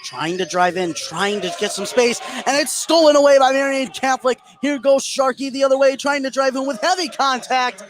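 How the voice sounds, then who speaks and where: High-pitched male basketball play-by-play commentator. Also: moderate pace, hardly any pauses. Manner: excited on big plays. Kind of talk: live high school basketball radio commentary. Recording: gym noise behind the voice. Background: gym ambience, bouncing basketball.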